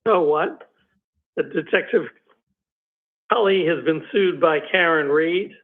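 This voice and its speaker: A man's voice: a little high, slow. A man arguing as a special prosecutor before a judge, hesitant with many ums and uhs, losing his voice. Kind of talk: courtroom argument. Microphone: video call. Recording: tinny phone-like audio from a video call.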